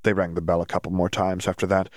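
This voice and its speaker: A man's voice: sits low, monotone, fast, dry recording, studio quality. A man reading scripted fiction aloud as an audiobook narrator.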